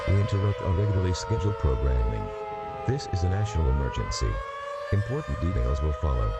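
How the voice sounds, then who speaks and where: A man reading a scripted national emergency alert in a measured, formal, instructional manner. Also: extremely low pitch, very animated, over loud music. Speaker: emergency broadcast announcer.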